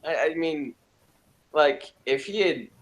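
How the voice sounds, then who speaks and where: A man's voice: a little high, slow pace. A man chatting informally about a TV show as a podcast panelist. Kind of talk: casual chat about a TV show.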